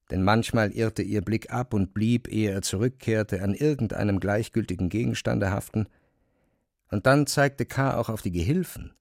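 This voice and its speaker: Male narrator for classic fiction: lowish pitch, dry studio sound, measured and precise delivery. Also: a little mellow, dry recording. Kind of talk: scripted literary narration.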